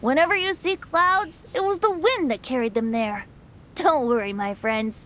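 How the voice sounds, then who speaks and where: A woman's voice: high voice, moderate pace, muffled recording, close to an everyday voice. A woman voicing a breezy, boyish, easygoing bard in a video game, light-voiced, hesitant and playful, congested with allergies. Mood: gentle, encouraging. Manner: hesitant, airy, playful, throat-clearing. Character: boyish, breezy, easygoing wandering bard, secretly a wind spirit. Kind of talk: video game character acting.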